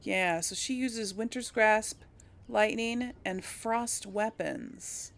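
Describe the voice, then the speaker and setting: A woman's voice: mid-range voice, takes it slowly, vocal fry. A woman giving casual game commentary as a let's play host, chatting and thinking aloud. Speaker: let's play host, role-playing a character.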